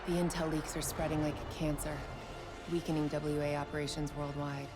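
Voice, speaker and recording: A woman's voice: deep voice, level tone, brisk, loud music behind the voice, close to an everyday voice. A woman playing a stern, matter-of-fact military intelligence analyst in a video game, speaking firmly. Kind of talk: video game acting, briefing.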